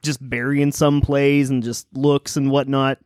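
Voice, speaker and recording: A man's voice: medium pitch, flat delivery, measured pace, darkish tone, dry recording, studio quality. A man co-hosting a casual podcast chat with wry jokes. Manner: wry, joking. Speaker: podcast co-host.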